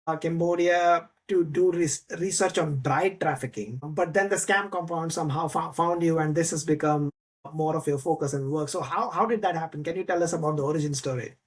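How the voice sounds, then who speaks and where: A man's voice: a little high, brisk, slightly rough. A man hosting a podcast interview, talking through his introduction with many fillers and restarts. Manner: hesitant, frequent fillers and restarts. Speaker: podcast host.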